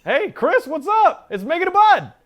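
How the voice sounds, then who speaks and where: A man in his thirties chatting casually and enthusiastically as an esports host, with an upbeat, animated manner. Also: very high-pitched, moderate pace, lo-fi sound. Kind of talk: casual enthusiastic interview chat.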